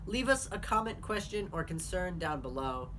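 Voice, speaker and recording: A young man hosting his vlog, talking candidly in a casual chat with quick asides. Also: high voice, big pitch swings, heavy background noise. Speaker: vlogger host.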